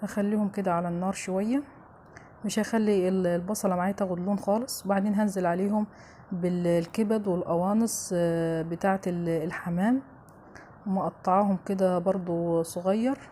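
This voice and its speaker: A woman with an Egyptian accent narrates a recipe in a soft-edged, mellow voice, over clear background noise. Her pitch sits in the middle, and she talks casually, addressing viewers familiarly as she goes step by step. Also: fairly even intonation, fairly quick. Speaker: home cooking show host.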